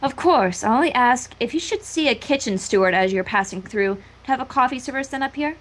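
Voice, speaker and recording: A woman's voice: fairly high pitch, animated, a little fast, fairly bright tone. A woman voicing a regal pony princess in a fan reading, gracious and playfully teasing, with a theatrical, courtly delivery.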